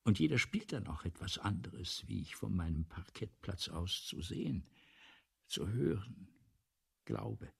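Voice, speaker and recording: A man's voice: lowish voice, very animated, a little slow, exaggerated voice. A male actor in a radio play playing a vain, ailing, agitated playwright who rambles imperiously and swings between muttering and outbursts. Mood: agitated, rambling. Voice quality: husky, gravelly. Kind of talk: 1970s German radio play acting.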